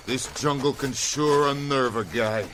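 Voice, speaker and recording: A man playing a jittery, awestruck sidekick in an old-time radio adventure, folksy and excitable. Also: mid-range voice, a little slow, lightly acted. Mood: nervous amazement. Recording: noisy vintage radio recording.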